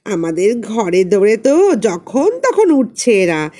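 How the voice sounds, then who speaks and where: A scornful older aunt from a storybook, acted by a woman narrator. She drawls slowly and sanctimoniously, with swooping, mocking intonation. She sounds nosy and disapproving. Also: mid-range voice, big pitch swings, warm tone. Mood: scandalised, drawling. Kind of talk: audiobook character voice.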